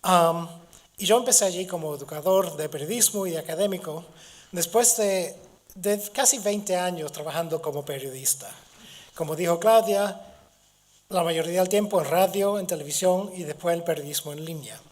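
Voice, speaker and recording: A middle-aged man from Gibraltar, a native English speaker, giving a university lecture while hoarse from a cold, halting with restarts and fillers. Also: high voice. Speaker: guest lecturer.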